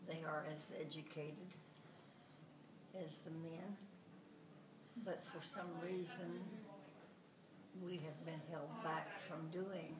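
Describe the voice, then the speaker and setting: A woman, giving slow, deliberate interview answers and now and then correcting herself. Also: low-pitched, steady tone, a crowd in the background. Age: 90s. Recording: roomy echo, distant mic, audible noise.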